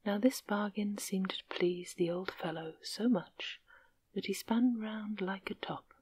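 A woman's voice: medium pitch, steady tone, measured pace, husky. Woman whispering a fairy tale. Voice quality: soft whisper. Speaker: storyteller.

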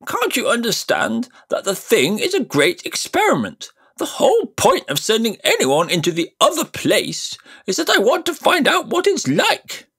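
Squeaky blustering old wizard uncle, snooty and cruel, kinda husky. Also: male voice, wildly swooping pitch, normal speed, hardly any pauses, pushed and bright, heavily acted. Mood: haughty, blustering. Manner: high, blustering, haughty and indignant. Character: pompous, cruel elderly male magician uncle. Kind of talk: audiobook character voice.

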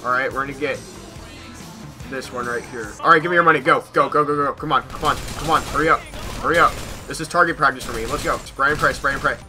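A young American man giving casual gameplay commentary in an excitable manner, repeating short phrases. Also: medium pitch, fast, over music. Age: young adult. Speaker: gaming YouTuber. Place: small bedroom at home.

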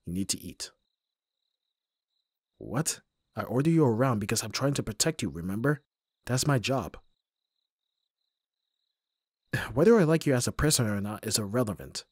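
A man performs a young male bodyguard in an ASMR roleplay, abrasive on the surface and soft underneath. His voice is fairly low and husky, with exasperated, protective delivery. The recording is dry, with no room sound. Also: sing-song, normal speed, long pauses, no room sound. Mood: exasperated, stern. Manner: gruff exasperated sighing, protective. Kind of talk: ASMR roleplay audio drama, acting to the listener.

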